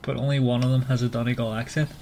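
A man's voice: medium pitch, little pitch movement, rapid-fire, dark tone. Northern Irish man chatting casually. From Northern Ireland. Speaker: podcast host. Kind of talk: casual book club discussion.

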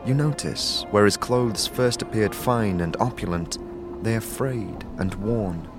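A man's voice: fairly low pitch, a little slow. A man as game master, narrating the scene descriptively in second person. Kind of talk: scripted narration. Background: background music.

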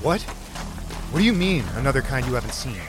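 A man's voice: medium pitch, big pitch swings, fast talker, close to an everyday voice. A man playing a protective zombie-apocalypse survivor in an audio drama, speaking with urgent command. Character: gruff protective male zombie-apocalypse survivor escorting a stray. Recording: battle ambience noise behind voice.

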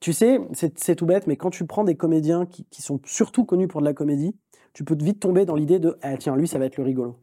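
A man, a film director, talking in a long interview, quick and animated, with many restarts and fillers. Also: on the high side. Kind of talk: long-form conversational interview.

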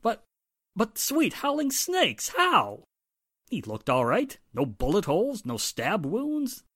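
An actor playing an exasperated, spluttering police inspector in a 1940s-style radio drama. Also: male voice, sits high, wildly swooping pitch, takes it slowly, fairly bright tone, dry recording, studio quality, exaggerated voice. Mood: incredulous. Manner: spluttering, emphatic, incredulous.

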